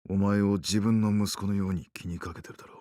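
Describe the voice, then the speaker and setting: A man's voice: lowish voice, fairly even intonation, very fast, mellow, no echo, studio quality, close to an everyday voice. An actor playing a stern, guarded male doctor in a Japanese visual novel, muttering and restrained. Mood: earnest, restrained.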